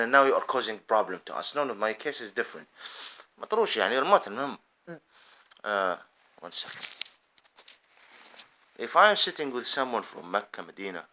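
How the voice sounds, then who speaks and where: A man's voice: medium pitch, bright, forceful tone. A man giving an impassioned, rambling unscripted monologue to camera. He often restarts his phrases. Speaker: video commentator.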